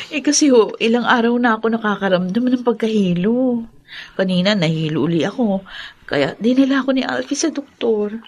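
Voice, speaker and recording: A woman's voice: fairly high pitch, lively intonation, moderate pace. A woman playing a tender, remorseful young married daughter-in-law in a Filipino radio drama.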